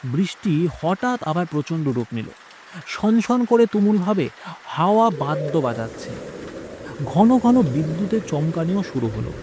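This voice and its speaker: Male audio-story narrator, dramatic scripted delivery, fairly high pitch, faint background ambience. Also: very animated, unhurried, a little mellow, a little hiss or hum.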